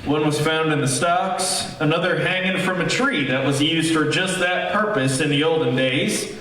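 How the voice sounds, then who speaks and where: An actor playing a baffled, earnest small-town sheriff, explaining hesitantly in old-time radio mystery style. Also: male voice, a little high, normal speed, fairly bright tone, close to an everyday voice. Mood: grave. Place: theater stage, live performance. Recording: very echoey theater, distant mic, faint noise.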